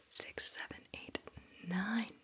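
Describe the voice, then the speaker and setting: Whispering woman, soothing ASMR guide. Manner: slow, soothing, deliberate, with repeated words. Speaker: ASMR host guiding listeners through personality tests.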